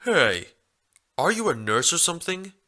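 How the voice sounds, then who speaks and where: A man's voice: a little high, big pitch swings, moderate pace, pushed and bright, voice pushed up. A man voicing a clueless, stubborn male pony in a story reading, pestering with puzzled questions.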